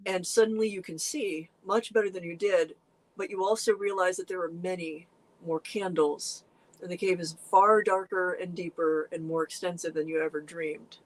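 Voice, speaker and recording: A middle-aged co-host chatting casually, telling stories earnestly with lively jumps in pitch and frequent restarts. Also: moderate pace, poor audio. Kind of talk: casual conversational chat.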